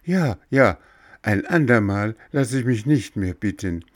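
A man's voice: lowish voice, expressive, a little slow, pitched above the performer's own voice. A man voicing a stubborn, easily frightened little puppet boy in a storybook, whining and pleading dramatically. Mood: cheeky. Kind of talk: storybook character voice.

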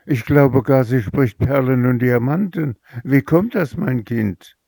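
A man voicing a greedy, scolding mother who shrieks and fusses, in a slow, gravelly storybook reading. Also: medium pitch, no echo, clean studio sound, voice pushed up. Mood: astonished eager. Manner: shrieking, excitable.

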